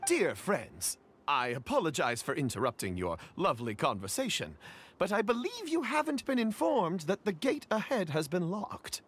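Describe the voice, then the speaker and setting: A man's voice: high-pitched, huge pitch swings, moderate pace, fairly bright tone, slight background noise, full character voice. A man playing an earnest, courteous young knight in a video game, speaking formally and with flowery politeness. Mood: courteous, grateful.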